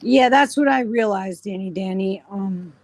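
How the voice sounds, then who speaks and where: A woman hosting a casual livestream chat, rambling with lots of fillers, her voice going a little hoarse. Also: medium pitch, moderate pace, a little mellow, dry recording. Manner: rambling, many fillers and "you know". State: voice getting hoarse. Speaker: livestream host.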